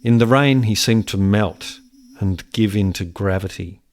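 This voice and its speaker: A resigned farmer speaks slowly in a somewhat low voice. His delivery is sombre and plain-spoken, a weary radio-play monologue about hard years on the land. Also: background noise. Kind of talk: reflective dramatic monologue.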